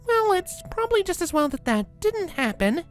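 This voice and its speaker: A man voicing a gentle, awkward female pony princess with a soothing, hesitant manner in a storybook reading. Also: very high voice, very animated, moderate pace, faint music behind, pitched above the performer's own voice.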